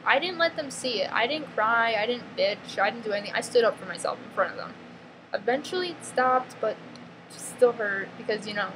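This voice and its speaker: A woman from the northeastern US with a New York accent speaks in a slightly high voice, blunt and emphatic, breaking off and restarting her sentences. Steady background noise sits clearly under her voice. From northeastern US, near New York. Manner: blunt, emphatic, peppered with "freaking" and "like"; halting, restarts mid-sentence. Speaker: vlogger. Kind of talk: confessional vlog monologue. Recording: noticeable background ambience noise.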